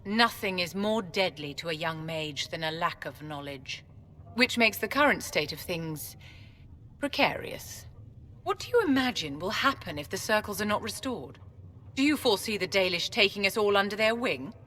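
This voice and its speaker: A woman's voice: lowish voice, bright, forceful tone, slight background noise. An actress playing an elegant, imperious female court mage, formal, poised and coolly condescending, in a video game. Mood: probing, cool.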